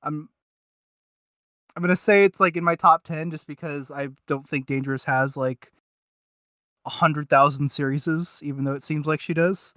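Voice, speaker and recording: A man's voice: on the high side, fairly even intonation, moderate pace, pauses often, a bit bright, dry recording, lo-fi sound. A man co-hosting a casual review podcast, rambling and hesitant as he searches for his words. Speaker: podcast co-host reviewing audio series.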